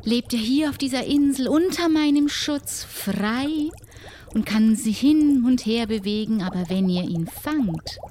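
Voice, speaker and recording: A woman playing a solitary, mysterious hut dweller who guards pirate ghosts in a tabletop game, speaking deliberately in formal, old-fashioned words. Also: on the high side, expressive, warm tone, a little hiss or hum. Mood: mysterious, explaining. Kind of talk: improvised tabletop roleplay.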